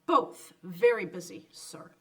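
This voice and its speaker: A woman's voice: mid-range voice, expressive, takes it slowly, fairly bright tone, recorded from a distance, heavily acted. A woman playing a polite, earnest genteel lady raising funds, speaking formally in old-time radio drama style. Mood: earnest, persuasive. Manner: courteous, formal, persistent.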